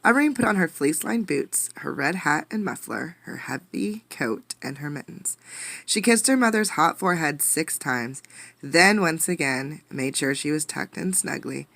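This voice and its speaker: Lower-pitched woman reading a storybook aloud. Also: creaky, no room sound. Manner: expressive, pausing to ask children questions. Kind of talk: interactive storybook read-aloud.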